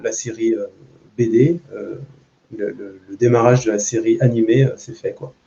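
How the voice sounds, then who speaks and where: A man speaking off the cuff on a panel as a comic book author, haltingly and with repeated words. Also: on the low side, fairly even intonation, gravelly, warm tone. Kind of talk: spontaneous panel talk. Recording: muffled, dull sound.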